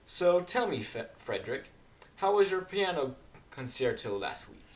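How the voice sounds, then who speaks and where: A man's voice: fairly high pitch, big pitch swings, normal speed. A man voicing a refined, polite mare musician with a genteel manner, in an amateur story reading. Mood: interested. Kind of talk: amateur dramatic fan fiction reading.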